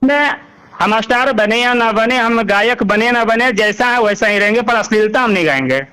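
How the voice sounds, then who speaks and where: Male interviewee, high voice, Bhojpuri-belt Hindi accent, conversational, emphatic, often repeats points and checks the listener follows. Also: normal speed, pushed and bright, smooth. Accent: Bhojpuri-Magahi belt Hindi, eastern India. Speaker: singer being interviewed.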